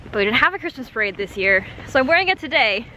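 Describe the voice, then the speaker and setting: A young woman in her twenties vlogs to a camera held at arm's length, chatty and giggly. Her voice sits high. A clear hum of noise runs behind her. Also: big pitch swings, fast talker, sharp tone, low-quality recording. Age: 20s. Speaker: vlogger. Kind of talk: casual vlog chat to camera. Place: kitchen indoors, then outdoors and in a car. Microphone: handheld camera at arm's length.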